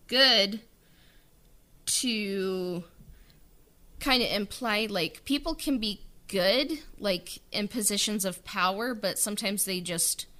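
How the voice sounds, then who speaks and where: Bright-voiced woman chatting casually. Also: on the low side. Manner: rambling, heavy use of filler words. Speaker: writer and producer of an audio drama. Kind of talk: casual interview chat.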